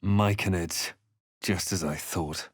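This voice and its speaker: A man playing a grave, watchful fantasy adventurer in a video game, narrating in the first person with measured, dramatic delivery. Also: low voice, steady tone, breathy edge, no echo, clean studio sound, heavily acted. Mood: grave narration.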